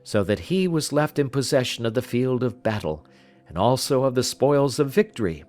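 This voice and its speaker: Man reading as a precise, confident gentleman detective. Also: mid-range voice, lively intonation, lightly acted. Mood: matter-of-fact recounting. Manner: precise, didactic, measured. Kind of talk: audiobook character dialogue.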